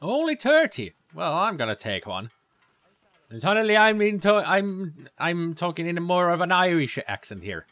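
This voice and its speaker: A man's voice: high voice, sing-song, moderate pace, fairly bright tone, no room sound. A man giving casual, rambling commentary over gameplay, with sarcastic asides. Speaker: let's play commentator.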